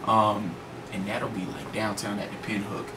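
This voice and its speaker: A man's voice: fairly low pitch, steady tone, moderate pace, recorded from a distance. An American man, a guest hip-hop artist, talking in a slangy, informal, rambling way in a casual radio interview. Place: radio studio. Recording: noisy studio, off the mic.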